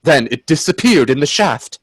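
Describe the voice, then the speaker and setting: A man playing an earnest, uneasy gentleman adventurer in a radio play, telling his tale with theatrical, hesitant suspense. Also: fairly high pitch, big pitch swings, normal speed, husky, no echo. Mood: suspenseful, dread. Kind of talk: dramatic radio play narration and dialogue.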